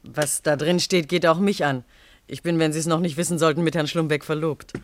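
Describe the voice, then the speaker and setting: A woman plays a young fiancée in charge of an office in a vintage radio play. She is brisk and officious, sure of her standing. The studio sound is dry. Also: sits low, steady tone, no echo, close to an everyday voice. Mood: assertive. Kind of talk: 1950s German radio drama acting.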